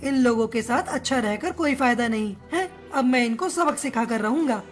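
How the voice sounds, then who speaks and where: A small male cartoon crow, acted by a woman, speaks in a high voice. He is a chatty, theatrical joker with a wounded, vengeful streak, and light music plays underneath. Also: animated, normal speed, close to an everyday voice. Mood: resentful, brooding. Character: short joke-telling crow, male, hurt and vengeful. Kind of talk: Hindi cartoon story dialogue.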